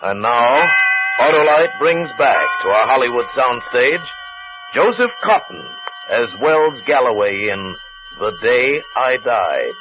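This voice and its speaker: A male announcer introduces a vintage radio thriller. He is slow and dramatic, with wide swings in pitch. Music plays along on a muffled old recording. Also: sing-song.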